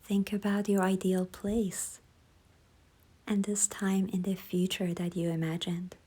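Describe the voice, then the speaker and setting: A woman leading a guided visualization in a soft, hushed, breathy voice, gentle, unhurried and soothing. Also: medium pitch, little pitch movement. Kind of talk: slow guided meditation narration.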